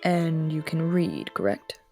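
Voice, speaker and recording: A cool, languid immortal prince, as a woman voices him in a roleplay audio drama, aloof and aristocratic. The voice is lowish with a gravelly fry, and he gives orders calmly, drifting now and then into soft murmurs. Faint string music plays beneath. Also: slow pace, full character voice. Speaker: voice actor.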